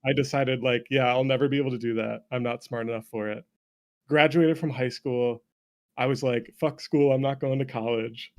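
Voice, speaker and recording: Creaky-voiced young North American man, chatting casually. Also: medium pitch, fairly even intonation, moderate pace, a little mellow. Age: late 20s to early 30s. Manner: self-deprecating, swears casually, laughs easily. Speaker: interview guest, game developer. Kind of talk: casual conversational storytelling.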